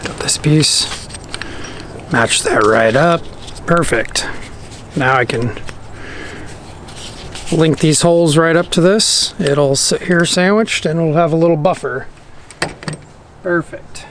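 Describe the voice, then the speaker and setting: Slow-talking DIY host, noisy recording. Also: mid-range voice. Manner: informal, talking to self while working. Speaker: DIY boating vlog host. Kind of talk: casual hands-on how-to narration. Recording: clearly noisy on-site recording, clicks.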